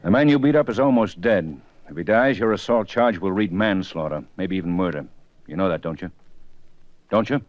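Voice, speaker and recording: Terse police lieutenant, very low voice, dry old radio sound. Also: male voice, animated, a little fast, dry recording, muffled recording. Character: gruff male police lieutenant, dry and calm. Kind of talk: 1950s radio drama acting.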